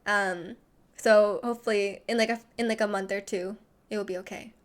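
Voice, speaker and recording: A woman's voice: medium pitch, steady tone, normal speed. A young woman streamer with a slight lisp, chatting casually and rambling with lots of "like" while reading chat messages. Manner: rambling, heavy use of "like".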